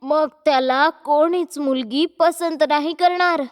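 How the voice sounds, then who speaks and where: A woman's voice: high voice, slow. A woman voicing a bossy, nagging middle-aged mother-in-law in a cartoon moral story. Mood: scolding. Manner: nagging, exclamatory, domineering. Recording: long hall-like reverb.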